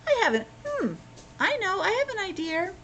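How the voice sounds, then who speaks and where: A woman's voice: very high voice, big pitch swings, moderate pace, a bit bright, slight background noise, pitched above the performer's own voice, full character voice. A woman voicing a polite, earnest, eager young male bear in a children's storybook reading.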